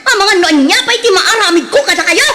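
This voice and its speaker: A woman's voice: very high-pitched, animated, a little fast, over loud music. A woman playing a bossy, scolding housewife with a curt, nagging manner in an audio drama. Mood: angry nagging. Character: bossy, scolding young housewife with a gambling habit. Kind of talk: radio drama acting in Ilocano. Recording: long reverb on the voices.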